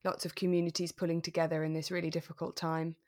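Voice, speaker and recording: Low-pitched woman chatting as podcast co-host. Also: level tone, quick pace, a little mellow. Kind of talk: casual podcast chat about a radio drama.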